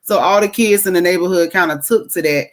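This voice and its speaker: A woman's voice: fairly low pitch, quick pace. A woman, guesting on a podcast over a video call and telling her story bluntly and plainly. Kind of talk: casual storytelling.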